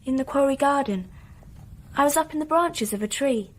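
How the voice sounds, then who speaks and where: High-voiced, solemn young girl. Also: expressive, moderate pace. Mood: earnest, recounting. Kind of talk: radio play acting.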